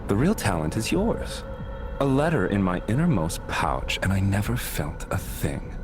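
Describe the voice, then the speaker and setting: An actor playing a composed, courteous master thief in a game cutscene, polite and deliberate. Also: male voice, on the low side, sing-song. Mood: wry politeness. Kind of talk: dramatic video game cutscene acting. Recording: loud music behind the voice.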